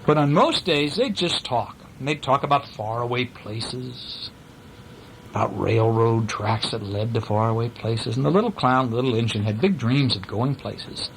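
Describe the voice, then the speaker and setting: A man's voice: medium pitch, animated, moderate pace, gravelly, slight background noise, low-quality recording. A man reading a bedtime story to his young children in a casual, playful way, breaking off to ask questions and act out sound effects.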